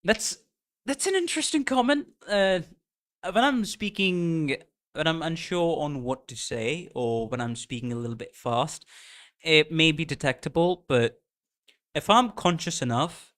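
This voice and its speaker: A male streamer with a light Indian accent mixed with American and British tones, chatting casually and excitedly with his viewers. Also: a little high, measured pace. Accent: Indian, blended with American and British. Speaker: streamer reacting to game music. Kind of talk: casual live-stream chat.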